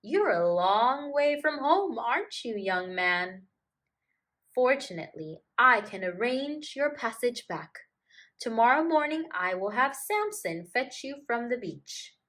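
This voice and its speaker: A woman voicing a gruff but friendly, proud male eagle in a children's picture book, talking in a formal, measured, avuncular way. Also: on the high side, sing-song, fairly bright tone, pitched above the performer's own voice, exaggerated voice. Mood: helpful.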